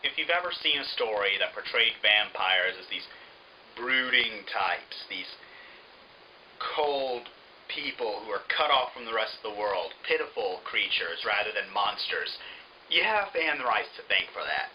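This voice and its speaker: A man's voice: fairly low pitch, expressive, a little slow, lo-fi sound, slight background noise. A man giving an opinionated book review in a sarcastic, emphatic manner.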